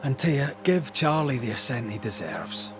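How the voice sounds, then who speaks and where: A man playing an earnest, compassionate ghost banisher in a video game, low-key and sympathetic. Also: very animated, moderate pace, music in the background, natural voice. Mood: concerned. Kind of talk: dramatic video game acting.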